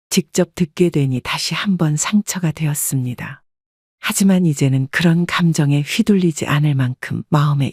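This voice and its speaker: A woman narrating a scripted first-person story in a calm, reflective way. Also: low-pitched, breathy edge, dry recording, clean studio sound.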